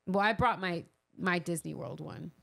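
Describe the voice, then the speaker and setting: A woman's voice: lowish voice, normal speed, vocal fry, a bit bright, dry recording, studio quality. A woman co-hosting a podcast, chatting casually in short, laid-back reactions. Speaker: podcast co-host.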